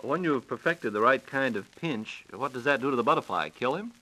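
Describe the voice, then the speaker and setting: A man's voice: medium pitch, expressive, fairly quick, pushed and bright, rough recording. An American man hosting a scripted 1940s radio science program with a playful, theatrical announcer delivery. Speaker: radio host interviewing a science reporter. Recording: 1940s radio broadcast recording.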